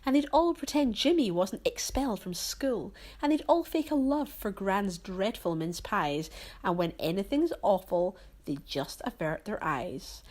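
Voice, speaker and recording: A woman reading rhyming storybook narration as a storyteller, with theatrical, drawn-out emphasis. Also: mid-range voice, lively intonation, darkish tone.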